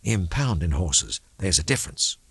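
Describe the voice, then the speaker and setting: A man voicing a tough, defiant woman deputy sheriff in an audiobook, brisk and curt. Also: low-pitched, big pitch swings, husky, no room sound, full character voice. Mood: brisk, wry.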